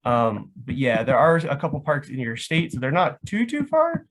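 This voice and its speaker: A man's voice: medium pitch, wildly swooping pitch, normal speed. An American man from Florida explaining things in a casual, rambling way, often padding with "like". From Florida, United States. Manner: rambling, filler-heavy with frequent "like". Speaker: guest, live action roleplay organizer.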